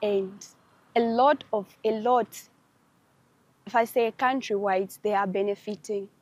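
A woman's voice: mid-range voice, steady tone, a little slow, no echo. A woman giving her opinion in a street interview. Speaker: member of the public interviewed. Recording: faint street background noise.

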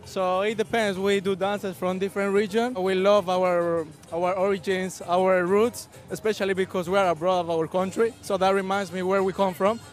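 A man's voice: sits high, level tone, normal speed, few pauses, faint music behind. A man taking part in a festival, answering an interview sincerely outdoors. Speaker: festival participant.

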